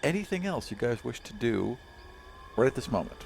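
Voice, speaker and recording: A man's voice: medium pitch, very animated, a little fast, creaky, darkish tone. A man acting as game master, narrating a tabletop roleplay session casually and with a jokey, informal manner. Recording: faint background noise.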